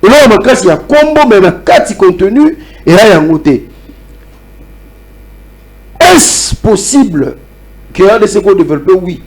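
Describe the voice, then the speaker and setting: A man's voice: high voice, sing-song, normal speed, long pauses. A Congolese man with a Congolese accent, talking animatedly in a debate and teasing as he mixes French with Lingala. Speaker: debate participant. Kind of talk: lively debate talk.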